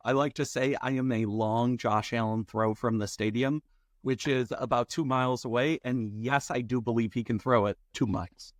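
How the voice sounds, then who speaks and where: A man from upstate New York, a guest on an interview podcast, relaxed and joking. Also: medium pitch, normal speed, no room sound, clean studio sound. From Rochester, New York. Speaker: venture capital guest. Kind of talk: casual interview chat.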